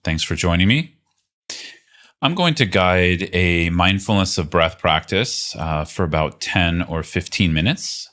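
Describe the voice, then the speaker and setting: A man leading a guided meditation as a teacher, speaking gently and unhurriedly with long pauses. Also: sits low, animated.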